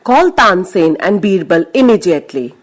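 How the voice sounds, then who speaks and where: A woman voicing a wise, measured male emperor with a regal, commanding manner in a children's story. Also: fairly low pitch, very animated.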